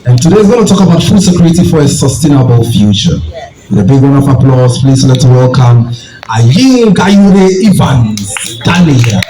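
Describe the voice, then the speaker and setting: A man introducing speakers at an event in a formal, halting way. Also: medium pitch, expressive, mellow. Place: event venue with audience. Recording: distant, echoey room with faint music.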